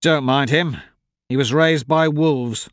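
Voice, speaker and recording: A man's voice: on the high side, steady tone, slow pace, no room sound, studio quality, voice pushed up. A man voicing a good-natured young male swordsman who talks in an upbeat, reasoning way. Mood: easygoing upbeat.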